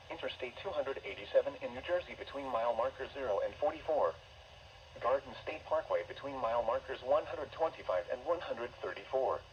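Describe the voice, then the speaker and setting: Lo-fi radio audio, faint noise, synthetic man's voice, stilted and formal, automated severe weather warning readout. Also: mid-range voice. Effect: synthesized voice over weather radio. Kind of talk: scripted emergency weather warning bulletin. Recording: dull, noisy weather radio broadcast.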